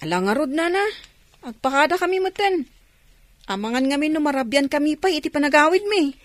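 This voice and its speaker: A woman's voice: high-pitched, expressive, brisk, dry recording. A woman acting a chatty, teasing visiting friend in an Ilocano radio drama. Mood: cheerful.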